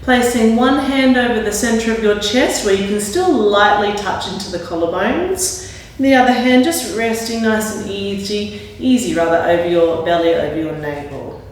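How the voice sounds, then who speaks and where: A woman leading a yoga class with calm, gentle spoken cues. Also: medium pitch, moderate pace. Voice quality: slightly raspy when straining. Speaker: yoga instructor. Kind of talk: guided yoga class instruction. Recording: very echoey room, mic at a distance.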